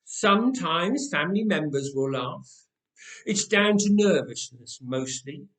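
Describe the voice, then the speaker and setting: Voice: medium pitch, animated, unhurried, fairly bright tone, roomy sound. The narrator voicing a senior woman professional mourner who is prim, genteel and condescendingly patient in a comic story. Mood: prim gravity.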